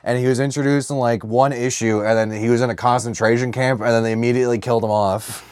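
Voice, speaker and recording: A man's voice: mid-range voice, steady tone, fast talker, darkish tone, clear, resonant tone, dry recording. A man co-hosting a podcast in casual comedic banter, swearing freely and joking in slangy talk. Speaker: podcast co-host.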